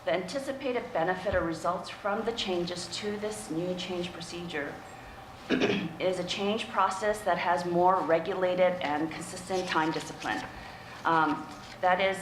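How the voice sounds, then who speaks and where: A woman with a raspy, under-the-weather voice gives a formal, halting briefing to a board at a public meeting. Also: lowish voice. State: coming down with a cold, throat-clearing. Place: indoor board meeting room. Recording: echoey meeting room with clear background noise.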